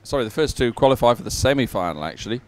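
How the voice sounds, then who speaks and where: A man commentating live at a sporting event and reading out results in a hesitant, halting way. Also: medium pitch, animated, a little mellow.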